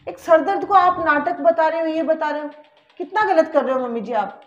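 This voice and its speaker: An earnest young working daughter-in-law, acted by a woman in a family skit, firmly lecturing her mother-in-law on fairness. Her voice is high and the recording is dull and lo-fi. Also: fairly quick, roomy sound, close to an everyday voice.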